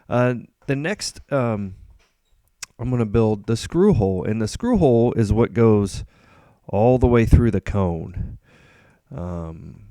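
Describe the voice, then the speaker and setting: A man hosting a casual screen-recorded coding tutorial, relaxed and rambling with frequent ums and uhs. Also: on the low side, dry recording, clean studio sound. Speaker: host.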